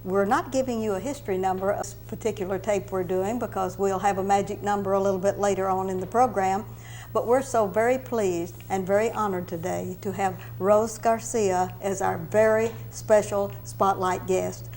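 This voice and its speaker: A woman with a medium-pitched voice hosts a local interview show. Her delivery is formal and courteous. The recording carries some background noise and hum. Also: steady tone, moderate pace, darkish tone.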